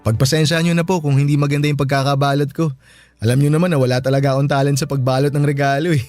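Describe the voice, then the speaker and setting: A man's voice: a little high, fairly even intonation, very fast, a little mellow, no echo, close to an everyday voice. An actor playing a polite, earnest young single father in a Filipino radio drama. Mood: polite, bashful. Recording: music bed under the voice.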